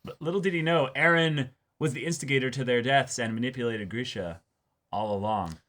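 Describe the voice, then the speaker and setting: A man in casual discussion as co-host of an anime reaction show, halting and often repeating his phrases. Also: mid-range voice, a bit bright.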